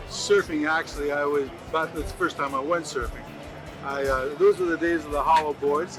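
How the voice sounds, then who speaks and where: An older man at an outdoor surf festival, telling a memory haltingly, with many pauses and fillers. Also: fairly high pitch. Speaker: interviewee. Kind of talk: casual storytelling.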